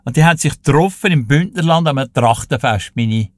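A man's voice: a little high, lively intonation, normal speed, dry recording, clean studio sound. An elderly Swiss German man in his eighties, a radio guest telling anecdotes from his life in a chatty, storytelling way. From Switzerland. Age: 80s. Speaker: radio show guest and music presenter. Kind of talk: casual interview storytelling.

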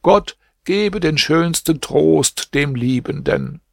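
Male reader doing a wheedling old crone, lifted pitch, slow and dry. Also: lively intonation, creaky, no echo, exaggerated voice. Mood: pleading. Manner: coaxing, pleading storyteller's delivery. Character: scheming old woman go-between, coaxing. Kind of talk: audiobook reading.

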